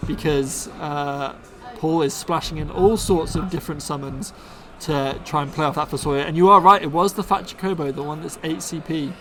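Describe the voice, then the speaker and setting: A man from Bristol doing upbeat casual commentary on a card game stream, reading card text aloud. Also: on the high side. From Bristol, England.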